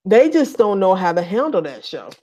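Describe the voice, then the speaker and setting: A woman's voice: mid-range voice, sing-song, normal speed, mellow. A woman co-hosting a podcast in casual chat, blunt and outspoken with informal grammar. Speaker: podcast co-host. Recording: muffled lo-fi audio.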